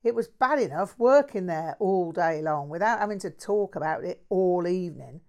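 A woman's voice: on the low side, lively intonation, moderate pace, darkish tone. A woman voicing a crude bloke. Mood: ranting. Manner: swearing, slangy, ranting. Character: crude brash working-class London man.

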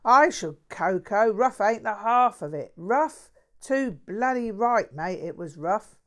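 A woman's voice: medium pitch, animated, slow, pitched above the performer's own voice. A woman voicing a crude bloke. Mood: ranting. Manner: swearing, slangy, ranting. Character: crude brash working-class London man.

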